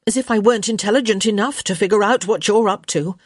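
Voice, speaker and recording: A woman's voice: medium pitch, fast, dry recording, studio quality, voice pushed up, natural voice. A woman voicing a witty young unmarried lady, arch, teasing and sarcastic, in a story reading.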